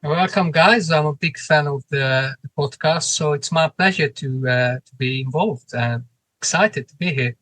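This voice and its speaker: A man from Hungary with a Hungarian accent, answering interview questions in English as a guest, often pausing and restarting his phrases. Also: on the high side, a bit bright, no echo, faint music behind, rough recording. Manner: halting, restarts phrases, non-native grammar. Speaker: cinema manager, guest.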